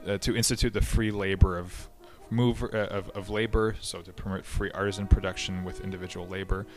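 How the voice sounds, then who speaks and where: A very deep-voiced man talks through history in a relaxed, conversational way. He hesitates often, restarting phrases and filling gaps with ums and uhs. Faint music sits quietly behind his voice. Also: brisk. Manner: conversational, many fillers and restarts. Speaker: history podcast host. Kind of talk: casual explanatory history talk.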